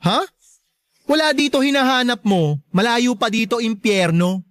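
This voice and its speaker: A woman playing a sharp-tongued, joking best friend in a Filipino radio drama, campy and teasing. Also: on the high side, expressive, measured pace, no room sound, clean studio sound. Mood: sarcastic, catty.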